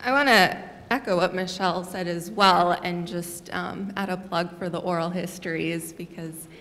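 A young woman on a university panel speaks at a stand microphone in a low, level voice with a gravelly edge. She keeps a measured, steady delivery, and a long hall reverb trails behind her. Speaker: panelist, graduate student. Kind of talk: panel discussion. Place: lecture hall with audience. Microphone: stand mic at a panel table.

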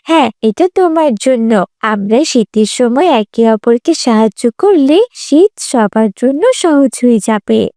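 A woman's voice: fairly high pitch, expressive, measured pace, dark tone, dry recording, clean studio sound. A woman voicing a kind, curious young schoolgirl in an Islamic moral cartoon, speaking in an earnest, questioning way. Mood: caring.

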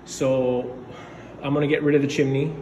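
A man's voice: mid-range voice, little pitch movement, moderate pace, a little mellow. Man narrating basement plumbing, echoey room. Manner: rambling, matter-of-fact, many fillers. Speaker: DIY home renovator. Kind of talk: casual narration while filming. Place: concrete basement. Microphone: phone camera held while walking. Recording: echoey basement with background noise.

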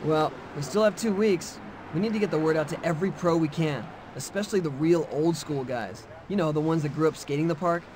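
Man acting young male skateboarder, video game dialogue, earnest, casual, fairly high pitch, light background ambience. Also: fairly quick, darkish tone, close to an everyday voice. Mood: determined. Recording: game audio with faint background noise.

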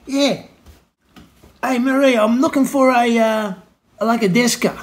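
Man playing a bumbling high-pitched prank caller. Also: a little slow. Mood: eager. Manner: hesitant, repetitive, muddled word-searching. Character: bumbling eager prank caller who fumbles his English. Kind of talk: comic prank phone call. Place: home kitchen, indoors. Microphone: camera close to face, phone on speaker.

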